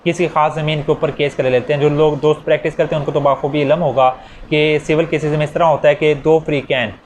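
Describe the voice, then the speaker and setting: Pakistani guy teaching law, slightly high voice, explains with examples and repeats himself, bit of noise behind. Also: level tone, fast. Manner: informal step-by-step explaining, repeats key phrases; mixes in English legal terms. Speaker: law lecturer. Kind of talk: explanatory lecture with worked examples.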